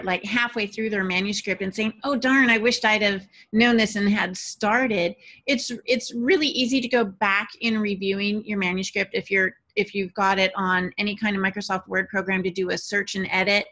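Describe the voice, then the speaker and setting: Woman giving encouraging advice, medium pitch. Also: fairly even intonation, normal speed, hardly any pauses, bright, forceful tone. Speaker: podcast panelist. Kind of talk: conversational podcast advice.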